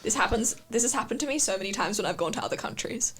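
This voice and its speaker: A woman's voice: a little high, expressive, racing, slight background noise. A young woman, co-hosting a casual student radio chat, blunt and swearing freely.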